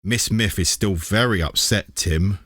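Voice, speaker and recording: A man's voice: on the low side, unhurried, fairly bright tone, no room sound, clean studio sound. A man voicing a caring, practical aunt in a children's storybook. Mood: gently cautioning.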